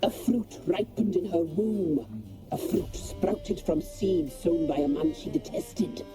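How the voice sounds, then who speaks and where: Very dark-voiced sinister crone. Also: female voice, fairly low pitch, slow, faint music behind. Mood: ominous. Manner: ominous, archaic. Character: sinister slow-spoken witch crone. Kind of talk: video game cutscene acting.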